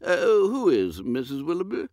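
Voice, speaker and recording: A dotty old british earl, pitched up, wobbling all over, dithery audiobook character. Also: male voice, huge pitch swings, moderate pace, darkish tone, no echo, studio quality. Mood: contentedly fussy. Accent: British English. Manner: bumbling, hesitant, repeats himself. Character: vague, dithering elderly English earl.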